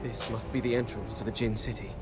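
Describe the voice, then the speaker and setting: A man's voice: lowish voice, steady tone, brisk, lightly acted. An actor playing a young adventurous video game prince, dryly sardonic as he talks. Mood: wary. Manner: dry, sardonic muttering to himself. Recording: loud game music over the voice.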